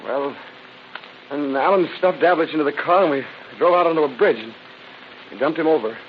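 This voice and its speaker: An actor playing an evasive, cornered crook under interrogation in a defensive, pleading 1930s radio style. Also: male voice, fairly high pitch, fairly quick, lightly acted. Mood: pleading, anxious. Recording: muffled, noisy vintage radio recording.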